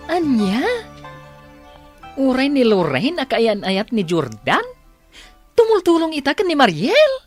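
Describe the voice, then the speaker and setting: A woman acts out a scornful, grumbling character in a family drama. She talks slowly with big swings of pitch and an Ilocano accent, with faint music behind. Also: huge pitch swings, exaggerated voice. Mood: scornful surprise. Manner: grumbling, exclamatory. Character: grumbling, scornful woman given to sharp retorts. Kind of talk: audio drama acting.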